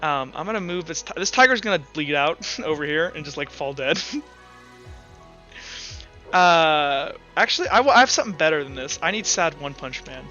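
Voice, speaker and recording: Male game master, forceful bright tone, improvising casually over background music, lo-fi sound. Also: a little high, lively intonation. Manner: halting, informal, improvised narration. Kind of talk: narrating a tabletop roleplaying game, casual. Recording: dull lo-fi audio, music underneath.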